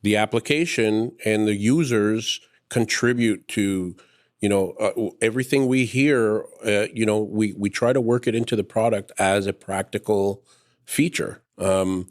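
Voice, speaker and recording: A man speaking as a manufacturer's guest in a podcast, hesitant, with many pauses and restarts. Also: lowish voice. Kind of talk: casual chat.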